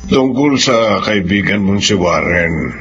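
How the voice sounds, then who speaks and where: A man playing a protective, probing middle-aged father with a Filipino accent, deliberate, in a radio drama. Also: low-pitched, lively intonation, a bit bright, exaggerated voice. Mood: grave, probing. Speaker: drama voice actor. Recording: dull lo-fi audio with hum.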